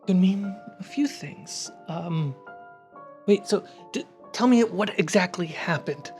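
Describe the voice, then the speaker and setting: Lowish-voiced woman narrating as game master. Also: slow, a little mellow. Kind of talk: casual tabletop narration. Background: background music.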